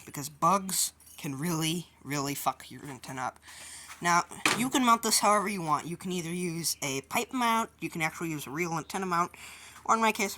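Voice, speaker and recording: Casting: woman, slightly low voice, colloquial how-to host talking casually through a hands-on job, some noise behind her. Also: pushed and bright. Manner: informal, offhand, colloquial. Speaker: DIY video host. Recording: clear background noise with clicks.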